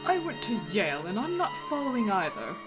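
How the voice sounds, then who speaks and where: A man's voice: high voice, very animated, a little fast, loud music behind the voice. A man voicing an anxious, refined middle-aged psychiatrist in a comic fandub, with fussy, melodramatic, snobbish diction. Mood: prim.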